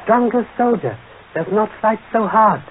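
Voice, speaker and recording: Old radio detective, super polite n calm, clipped formal proverb talk, tinny noisy recording. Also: male voice, sits high. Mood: shrewd, calm. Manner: measured, formal, clipped phrasing that drops pronouns and articles. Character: calm, courteous male Chinese-American police detective, fond of proverbs. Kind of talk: 1940s radio acting. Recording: noisy, narrow-band vintage radio recording.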